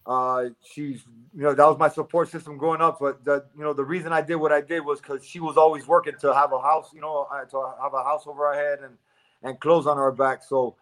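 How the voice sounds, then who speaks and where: A man in his forties from Miami, a fighter chatting as a guest in a relaxed way, laughing easily and stammering into his answers. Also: on the high side, fairly quick, low-quality recording. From Miami, United States. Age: 40s. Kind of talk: casual interview chat.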